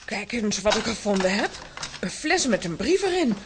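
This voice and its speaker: A woman playing a brisk, strong-willed, dramatic aunt in a children's audio drama. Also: medium pitch, big pitch swings, noisy background, close to an everyday voice. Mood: alarmed.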